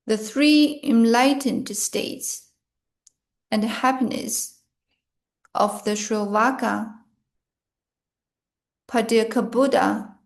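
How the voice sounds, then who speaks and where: A woman reading a scripted guided meditation in short, measured phrases with pauses between them. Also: on the high side, fairly even intonation, vocal fry.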